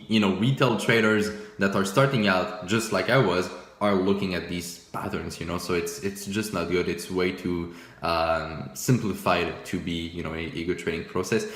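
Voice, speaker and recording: A young man whose first language is French gives relaxed, self-mocking commentary on his old trading charts. He talks loosely, with many fillers and restarts. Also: deep voice, echoey room. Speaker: forex trading YouTuber. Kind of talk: casual screen-share commentary.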